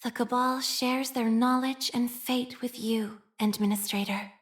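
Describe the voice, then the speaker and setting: A woman voicing a devout, shy young priestess in a video game, speaking formally and with measured, prayer-like phrasing and a machine-minded reserve. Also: fairly high pitch, fairly even intonation, natural voice. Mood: solemn, reverent. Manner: formal, measured, liturgical phrasing with hesitant shyness. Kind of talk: scripted game character voice lines.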